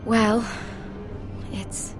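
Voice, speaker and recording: An actress playing a friendly young handmaiden in a fantasy game, with a chatty, teasing manner. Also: female voice, drawn-out words, music in the background. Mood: hesitant. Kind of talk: dramatic fantasy game acting.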